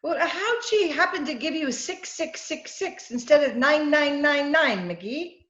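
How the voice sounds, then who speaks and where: Woman as high, lilting radio-comedy housewife. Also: animated, unhurried, fairly bright tone. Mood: wry. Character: patient, wry, affectionate housewife of radio comedy. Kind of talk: old-time radio comedy acting. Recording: dull, roomy video-call recording.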